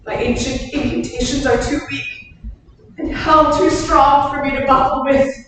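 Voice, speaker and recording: A woman acting a fierce young French warrior witch, high and slow, in a declaimed Shakespeare stage reading. She is incantatory and desperate, heard through a heavily echoing hall. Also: steady tone, a bit bright. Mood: despairing. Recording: distant mic, very echoey hall, faint noise.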